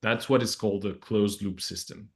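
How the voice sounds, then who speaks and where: A man giving explanatory interview answers as a scientist, in halting, hesitant phrasing. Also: lowish voice, steady tone, gravelly. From Belgium. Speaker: project scientist, interview guest.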